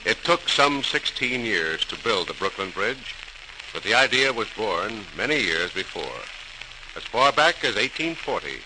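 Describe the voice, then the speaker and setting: A man's voice: fairly low pitch, big pitch swings, unhurried. A man narrating a scripted 1940s radio program as announcer, formal and dramatic. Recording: noisy old radio transcription.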